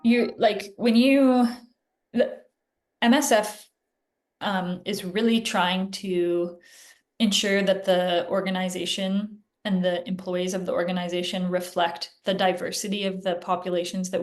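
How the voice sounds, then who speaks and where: A woman physician answering interview questions in a casual, chatty way, often pausing with "like" and "um", heard over a video call. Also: lowish voice, steady tone. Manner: chatty, filler-heavy, frequent "like" and "um". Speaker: guest physician.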